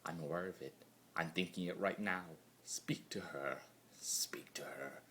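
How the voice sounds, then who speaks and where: A man's voice: low-pitched, unhurried, fairly bright tone, a little hiss or hum, pitched below the performer's own voice. A man voicing a mad, immortal chaos spirit in a theatrical dramatic monologue, torn between glee and brooding. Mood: hushed brooding. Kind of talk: dramatic fan-fiction monologue reading.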